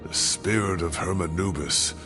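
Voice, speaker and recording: An actor playing a weary, regretful scholar in a video game, recounting the past in a halting, reflective way. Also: male voice, low-pitched, over music, natural voice. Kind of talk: dramatic video game narration in character.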